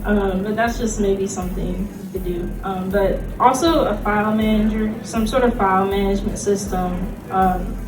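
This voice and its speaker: A woman's voice: mid-range voice, fairly even intonation, normal speed, hardly any pauses, background noise. A woman presenting informally as a convention panelist, with a hesitant, conversational manner full of "like". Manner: hesitant, conversational, says "like" often. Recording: echoey panel room, distant microphone, music.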